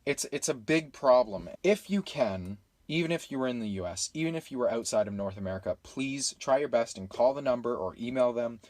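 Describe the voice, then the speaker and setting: A man's voice: medium pitch, animated, brisk, few pauses, darkish tone, no room sound. Exasperated Canadian man, dry close sound. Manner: exasperated, emphatic, rhetorical questioning. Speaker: weather radio video maker. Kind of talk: impassioned unscripted appeal.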